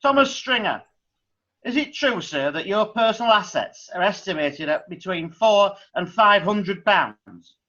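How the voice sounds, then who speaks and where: An actor playing a stern magistrate with a pompous manner. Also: male voice, high-pitched, animated, a little slow, sharp tone, lo-fi sound, full character voice. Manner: pompous, pronouncing sentence. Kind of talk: amateur audio drama acting.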